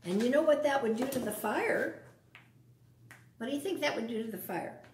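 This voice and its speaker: A woman reads a children's story in a roomy, echoing space. Her voice is fairly high and very lively in pitch, and she often turns to the children with simple questions and explanations. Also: moderate pace, a little hiss or hum. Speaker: storyteller reading a picture book to children. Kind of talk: read-aloud storytime with asides to young listeners.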